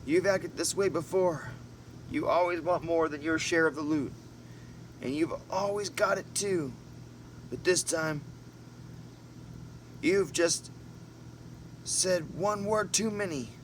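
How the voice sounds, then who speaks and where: A man's voice: a little high, slow pace, long pauses, voice pushed up. A man voicing a menacing robber who speaks in harsh accusations in an amateur audiobook story. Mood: angry menace. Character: menacing, accusing male robber gang member. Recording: noisy recording, distant mic, vehicle-like hum.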